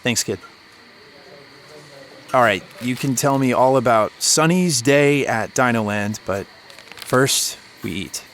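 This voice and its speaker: A man's voice: mid-range voice, slow, pauses often, a bit bright, a little hiss or hum. A man playing a laid-back, sarcastic, worn-out radio DJ who teases his way through an audio drama scene. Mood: wry, relaxed. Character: scruffy, sardonic broken-down male radio DJ. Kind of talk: naturalistic audio drama dialogue.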